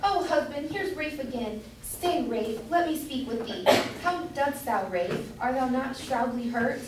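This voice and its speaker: A woman's voice: sits high, lively intonation, a little slow. A woman playing a fussy, doting, meddlesome London citizen's wife in an amateur staged reading of a Jacobean comedy. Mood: fussing. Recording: distant echoey room, background hiss.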